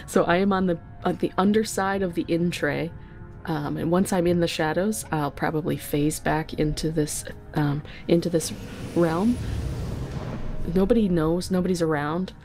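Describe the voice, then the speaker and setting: Mellow-voiced woman playing a tabletop game. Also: on the low side, moderate pace, faint music behind. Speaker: guest tabletop game player. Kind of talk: casual in-game narration.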